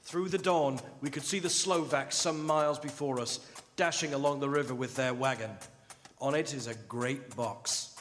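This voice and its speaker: A man playing a resolute young gentleman vampire hunter, earnest and purposeful in a live radio drama. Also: mid-range voice, fairly even intonation, moderate pace, soft background music, close to an everyday voice. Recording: reverberant stage hall, faint noise.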